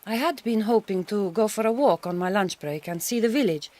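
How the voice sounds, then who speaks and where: An actress playing a young Hungarian migrant farm worker in a radio drama, polite and self-assured, with careful, formal phrasing and a Hungarian accent. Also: female voice, mid-range voice, expressive, brisk, darkish tone, natural voice.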